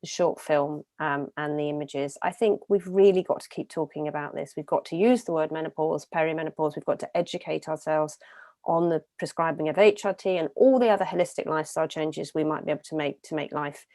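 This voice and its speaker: A woman's voice: low-pitched, fairly even intonation, fairly quick, warm tone, no room sound, studio quality. A woman hosting an event, giving upbeat closing remarks with frequent ums. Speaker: event host.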